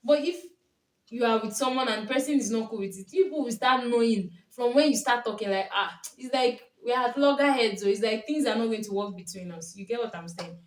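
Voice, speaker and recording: A woman's voice: fairly high pitch, fairly quick. Chatty Nigerian woman, echoey room. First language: Yoruba. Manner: chatty storytelling, often laughing. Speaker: vlogger and coach. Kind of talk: casual advice talk to camera. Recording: roomy, from a distance.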